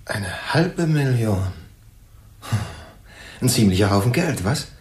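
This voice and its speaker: A man's voice: fairly low pitch, sing-song, slow pace, echoey room. An actor playing a blunt, probing police investigator in a German crime radio drama, direct and pointed in his questions.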